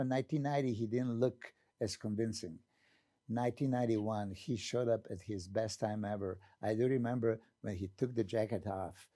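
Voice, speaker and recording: Gravelly-voiced Serbian-accented older man. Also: medium pitch, warm tone, no room sound, studio quality. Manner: earnest, hesitant with many fillers. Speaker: guest, former pro bodybuilder. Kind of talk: storytelling interview answer.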